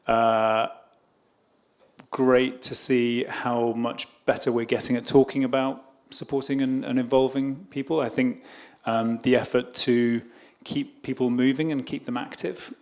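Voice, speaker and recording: A middle-aged British diplomat answering questions at a public event. He speaks at an ordinary middle pitch, hesitant and unpolished, circling back over his own words as he goes. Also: little pitch movement, a little slow, a little mellow. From Britain. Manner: hesitant, restarts and repeats words.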